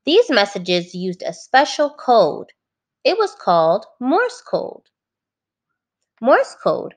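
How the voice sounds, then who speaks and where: A woman teacher reading a children's book aloud, phrasing it clearly and adding simple explanations for young listeners. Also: on the high side, wildly swooping pitch, slow pace.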